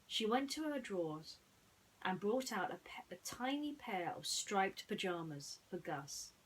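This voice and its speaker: A woman hosting and narrating bedtime stories in a gentle storytelling style. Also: mid-range voice, big pitch swings, a little slow, slight background noise, rough recording.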